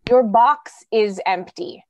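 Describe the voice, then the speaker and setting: An actress playing a remorseful, pleading idealistic wife in old-time radio drama style. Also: female voice, mid-range voice, takes it slowly, dull audio, natural voice. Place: indoors, on a video call.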